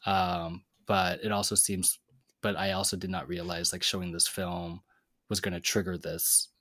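A man's voice: low-pitched, level tone, normal speed, fairly bright tone, dry recording, clean studio sound. A man co-hosting a casual chat, talking through his thoughts with hedging and many filler words. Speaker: podcast co-host.

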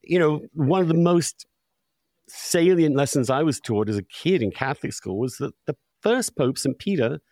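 Man, podcast host, emphatic, lively swooping pitch, dry recording, conversational. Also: normal speed, no room sound. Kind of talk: conversational interview.

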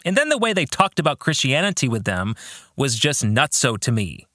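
A middle-aged man gives a reflective solo podcast talk in a bright, forward voice. His pitch moves in a very lively way as he earnestly leans on key phrases. The sound is close and dry. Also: normal speed, dry recording. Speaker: podcast host and author. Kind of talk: reflective monologue about faith and fiction.

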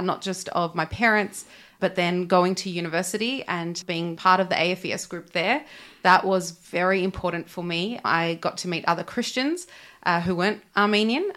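A woman's voice: fairly low pitch, a bit bright. A young woman from Australia speaking earnestly as a guest on an interview podcast.

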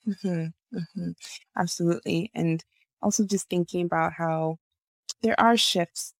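A woman's voice: on the low side, unhurried, vocal fry, dry recording. A middle-aged woman from Nigeria, a naturopathic physician, talking thoughtfully in an interview and laughing often. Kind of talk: conversational interview.

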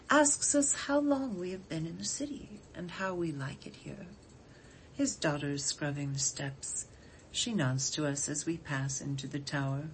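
A woman reading a poem aloud in an expressive literary style, with measured, deliberate phrasing. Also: sits low, poor audio. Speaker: poetry reader. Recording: faint background noise.

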